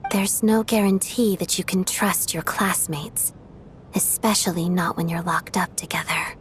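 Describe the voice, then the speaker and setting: An actress voicing a distrustful woman who speaks in a calm, cautionary way. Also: lowish voice, measured pace, a little hiss or hum, natural voice. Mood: wary. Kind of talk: video game voice acting.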